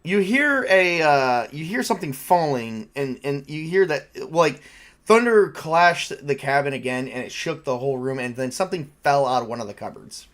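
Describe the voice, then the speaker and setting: A man's voice: on the high side, animated, moderate pace, fairly bright tone. A man running a tabletop role-playing game as game master, narrating in a casual, conversational way.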